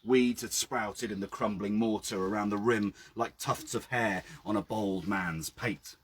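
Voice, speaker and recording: British man, low husky voice, lively intonation, measured literary storytelling, reading outdoors. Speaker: author reading own story. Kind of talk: literary story reading, first-person narration. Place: outdoors by a well.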